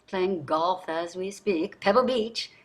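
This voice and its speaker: A woman's voice: on the low side, takes it slowly. An actress in a staged play reading, playing a wealthy, entitled society wife and mother with an affected, gushing manner. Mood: anxious, gushing. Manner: affected, gushing, effusive endearments.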